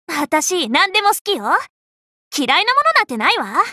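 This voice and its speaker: A young female Buddhist monk master from an anime game, with a very high, bright voice that leaps around in pitch. She is cheerful, bossy and a little scatterbrained. Her delivery is childlike and boastful, full of exclamations. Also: big pitch swings, normal speed, no echo, studio quality, heavily acted. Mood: hesitant, flustered. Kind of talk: Japanese anime game character voice lines.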